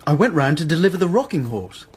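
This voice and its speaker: A man's voice: on the high side, sing-song, quick pace, a little hiss or hum. An actor playing a modest, courteous man, a diffident family friend, in a radio drama.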